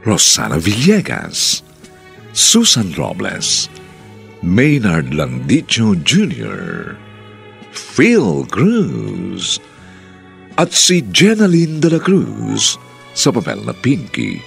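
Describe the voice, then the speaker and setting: A low, gravelly Filipino man announces a radio drama. His delivery is formal and stately, with dramatic, sweeping intonation. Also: wildly swooping pitch, drawn-out words, long pauses, a bit bright, faint music behind.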